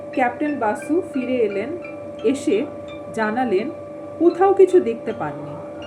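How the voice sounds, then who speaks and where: A woman reading a story aloud as an audio storyteller, in an expressive, suspenseful narrating manner. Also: high voice. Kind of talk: dramatic reading of a Bengali ghost story with casual asides.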